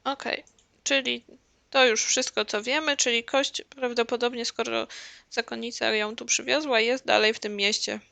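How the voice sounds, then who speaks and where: Girl doing casual lets play commentary, crackly fry, really dry close mic. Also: fairly high pitch, fairly even intonation, brisk, pushed and bright, dry recording. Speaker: gameplay streamer. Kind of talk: casual gameplay commentary, retelling and translating.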